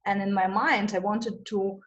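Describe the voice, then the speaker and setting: A woman's voice: mid-range voice, steady tone, unhurried, a bit bright, highs cut off. Woman. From Russia. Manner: hesitant, many fillers and restarts. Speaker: interview guest. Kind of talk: conversational interview.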